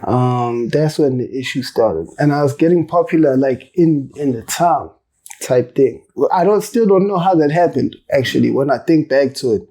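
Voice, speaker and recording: Relaxed slangy rapper man, mellow voice. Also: mid-range voice, moderate pace, poor audio. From South Africa. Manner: loose, slangy, swears freely, laughs while telling stories. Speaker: rapper, interview guest. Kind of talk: casual interview chat.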